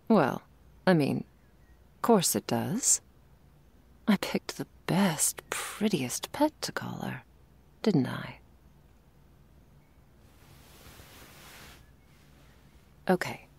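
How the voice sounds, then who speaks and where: A woman playing a confident, teasing but vulnerable girlfriend in an intimate ASMR romance roleplay, confiding tenderly and haltingly, often dropping into breathy whispers. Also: a bass voice, a little mellow, exaggerated voice. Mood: nervous, loving.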